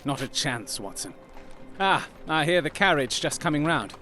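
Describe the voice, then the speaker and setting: A man playing a shrewd, commanding gentleman detective in an audio drama, speaking formally and imperiously. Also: fairly high pitch, very animated, normal speed, faint music behind. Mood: alert, urgent.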